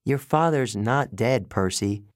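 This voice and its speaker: A man voicing a smart, confident girl camper who explains things earnestly, in a higher voice than his own. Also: animated, unhurried, no room sound, studio quality, natural voice.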